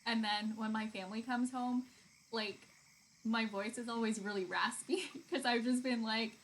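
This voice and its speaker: A woman's voice: on the high side, steady tone, normal speed, rough recording. A woman live streaming art, chatting casually and informally with viewers and reading their comments aloud. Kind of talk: casual chat with stream viewers while drawing. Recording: clear background noise.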